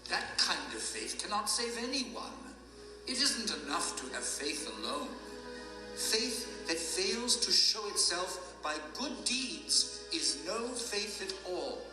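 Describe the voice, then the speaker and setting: An actor playing a solemn sage who recites ancient writings in a measured, preacherly way for an audio drama. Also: male voice, high-pitched, big pitch swings, full character voice. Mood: solemn, admonishing. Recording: echoey hall, music behind.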